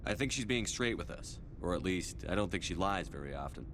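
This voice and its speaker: A deep-voiced space commander from a video game. He is firm, steady and clipped, used to giving orders, with faint rumble behind. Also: a little fast. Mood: firm, probing. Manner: authoritative, clipped. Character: male human military starship commander, firm and steady.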